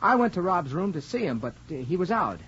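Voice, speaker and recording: Earnest young college hero, old radio. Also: male voice, high voice, big pitch swings, fairly quick, fairly bright tone, slightly rough, full character voice. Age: young adult. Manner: earnest, respectful. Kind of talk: 1940s radio acting. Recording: muffled, hissy 1940s radio recording.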